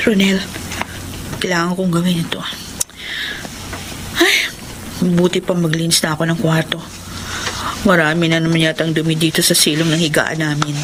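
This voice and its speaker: A woman's voice: lowish voice, noisy background, lightly acted. An actress playing a nagging, suspicious young wife and mother in a Tagalog radio drama. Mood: uneasy, musing. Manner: exasperated, scolding.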